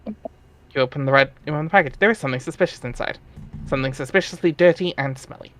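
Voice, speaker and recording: A man's voice: on the high side, fast talker, a bit bright. A man narrating an amateur dramatic reading of a webcomic, wry and theatrical, with casual asides.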